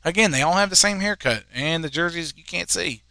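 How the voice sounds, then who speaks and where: A man in his twenties calling a high school soccer game, chatting in rambling asides between plays. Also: brisk, creaky, pushed and bright, no echo. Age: 20s. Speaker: play-by-play commentator.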